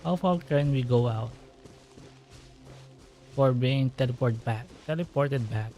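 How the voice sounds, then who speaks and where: A man's voice: medium pitch, a little slow, warm tone, faint music behind. A man casually commenting aloud while he plays through a game, musing and joking. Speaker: gameplay streamer.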